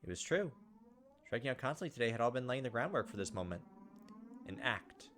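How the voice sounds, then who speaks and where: A man's voice: medium pitch, big pitch swings, a little fast, fairly bright tone, slight background noise. A man hosting a gameplay video, reading a visual novel aloud as dramatized, playful narration.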